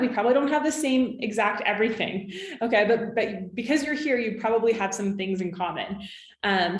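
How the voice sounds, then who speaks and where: Woman, 30s, business coach, encouraging, asks "okay?" a lot, a little high voice, echoey room. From California. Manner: encouraging, checks in with frequent "okay?" and "right?". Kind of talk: coaching talk to camera.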